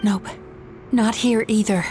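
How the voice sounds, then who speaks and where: A woman voicing a calm, caring young dream therapist in a video game, composed and gently explanatory. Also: medium pitch, takes it slowly, over music, close to an everyday voice. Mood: calm, guarded.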